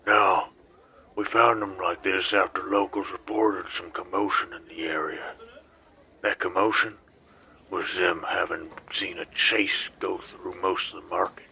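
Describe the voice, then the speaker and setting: A man playing a gruff, weary space sheriff in an audio drama, with a rough, folksy, firm manner. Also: sits low, steady tone, unhurried, pushed and bright, heavily acted. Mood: matter-of-fact. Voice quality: rough, raspy.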